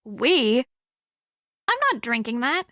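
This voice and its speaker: An actress playing a flustered, defensive, sharp-tongued teenage girl in a video game. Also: a little high, expressive, slow, no echo, studio quality, full character voice. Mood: sarcastic, reluctant. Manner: prickly, easily exasperated.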